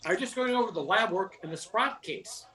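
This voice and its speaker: An older performer as a bright, distant suburban husband. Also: mid-range voice, lively intonation, brisk, lightly acted. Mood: apologetic, matter-of-fact. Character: busy, mild suburban husband, a pathologist. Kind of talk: old-time radio comedy acting. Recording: distant from the microphone.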